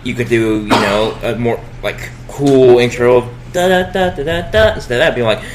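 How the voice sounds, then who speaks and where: A man's voice: mid-range voice, moderate pace. A young man chatting casually as a co-host, rambling and opinionated as he explains his takes. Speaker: podcast co-host. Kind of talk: casual chat. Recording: noisy home recording.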